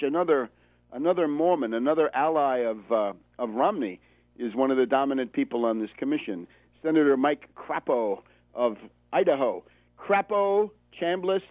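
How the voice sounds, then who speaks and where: American male radio commentator, sardonic and emphatic, slightly high-pitched, dry phone-quality audio. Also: no room sound. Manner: emphatic, rhetorical, sardonic, with repeated phrases. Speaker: political radio show host. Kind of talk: political commentary monologue. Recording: narrow phone-like sound, dry.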